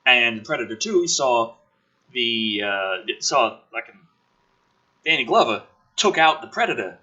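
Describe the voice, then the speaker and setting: A man's voice: medium pitch, sing-song, a little slow, sharp tone, husky, dull audio. A man hosting a show, mixing ranting commentary with dramatic script reading in a sarcastic, sweary, informal way.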